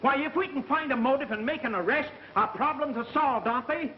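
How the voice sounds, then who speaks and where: A man's voice: very high voice, fairly quick. A man acting a frantic, blustering radio station boss in an agitated, demanding 1930s melodrama style, on an old film soundtrack. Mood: excited, blustering. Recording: muffled, hissy vintage 1930s film soundtrack.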